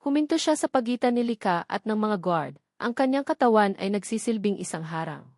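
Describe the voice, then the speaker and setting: A woman's voice: mid-range voice, expressive, fairly quick, a little mellow, no room sound. Woman narrating a dramatic story, dry sound.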